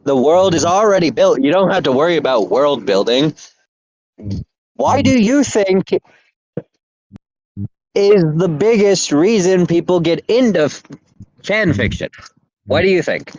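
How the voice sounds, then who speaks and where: A man's voice: on the high side, sing-song, a little slow, long pauses, a little mellow, no room sound. Rambling man on a lo-fi podcast. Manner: rambling, repeats himself, many fillers. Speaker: podcast host. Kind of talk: casual podcast chat.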